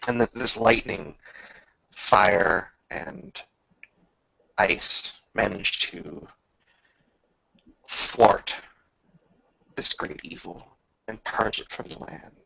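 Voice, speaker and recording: A man with a lowish voice full of heavy creak narrates a tabletop fantasy game like a saga. He speaks slowly and grandly, leaving long pauses between phrases. The recording is slightly dull. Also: sharp tone, no room sound. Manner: measured, grandiose, with drawn-out pauses. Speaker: game master. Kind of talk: dramatic storytelling narration for a tabletop roleplaying game.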